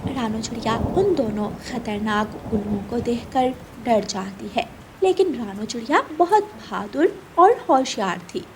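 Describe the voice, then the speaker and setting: A woman's voice: on the high side, animated, a little slow, darkish tone, slight background noise, rough recording. A woman narrator reading a scripted children's story.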